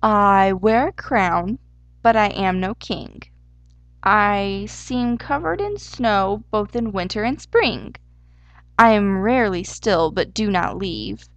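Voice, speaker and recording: A woman voicing an excitable hare with a German accent. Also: mid-range voice, slow pace, no room sound. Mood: proud. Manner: exclamatory, eager, easily indignant. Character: excitable, fussy male Easter hare wearing pants. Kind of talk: storybook character voice.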